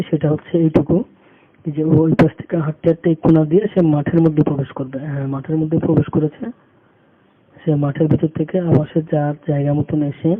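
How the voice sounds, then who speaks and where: A man giving an unscripted step-by-step tutorial, halting and repeating phrases as he works. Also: fairly high pitch. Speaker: tutorial instructor. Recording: muffled phone-like audio with background noise.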